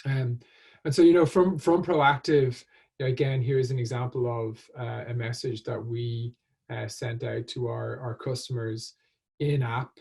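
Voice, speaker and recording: A man giving a business presentation as a speaker, in a halting, hesitant way with frequent ums. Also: mid-range voice, steady tone, creaky, a little mellow, slightly rough. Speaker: conference speaker.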